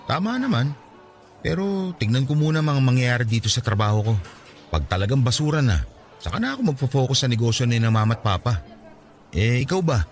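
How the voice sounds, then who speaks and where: A man with a Filipino accent playing a cocky but caring young best friend in a radio drama, bantering and expressive. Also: medium pitch, fairly quick, soft background music. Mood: resigned.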